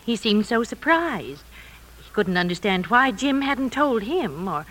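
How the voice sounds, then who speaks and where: A woman's voice: on the high side, very animated, a little slow, a bit bright, a little hiss or hum. A woman playing a concerned, middle-aged mother of a grown daughter in a 1950s radio drama, solicitous and hesitant. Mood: hesitant, uneasy.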